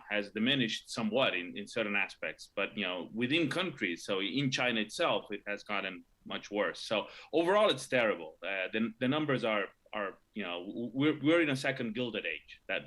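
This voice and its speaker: A middle-aged man from Argentina explains economics in a low, bright voice. He talks haltingly, stacking fillers and restarts as he goes. He sounds like a guest on a live talk show. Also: expressive.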